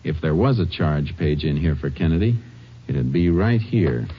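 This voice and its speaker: An actor playing a calm, methodical Texas Ranger in a 1950s radio drama, speaking in terse, measured, matter-of-fact lines. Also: male voice, extremely low pitch, a little hiss or hum. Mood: probing, resolute. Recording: dull vintage 1950s radio recording.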